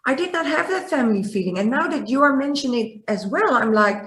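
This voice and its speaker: A woman hosting an interview, reflecting slowly and haltingly as she thinks aloud. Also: sits high, lively intonation, fairly bright tone, slight background noise. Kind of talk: reflective conversation. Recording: echoey room.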